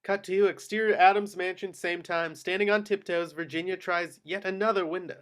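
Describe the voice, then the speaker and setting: Man, high-pitched voice, scripted narration, reading stage directions, table read. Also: fairly even intonation, a little fast, a bit bright, smooth.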